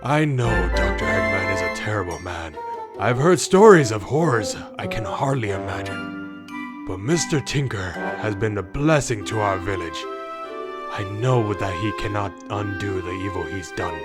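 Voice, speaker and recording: Earnest cartoon villager played by a man, low and unhurried yet expressive, loud music behind. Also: close to an everyday voice. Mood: earnest, solemn. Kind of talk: animated comic dub acting.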